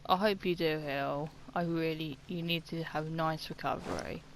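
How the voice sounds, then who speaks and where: A woman's voice: low-pitched, fairly even intonation, normal speed, vocal fry, slight background noise. A woman giving casual let's-play commentary, thinking aloud in a hesitant way. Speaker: gaming video creator. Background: game music.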